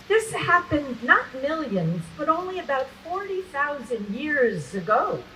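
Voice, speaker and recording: A woman performing a dramatic spoken-word poem at an event, with theatrical, deliberate phrasing and pauses. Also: a little high, distant mic, poor audio.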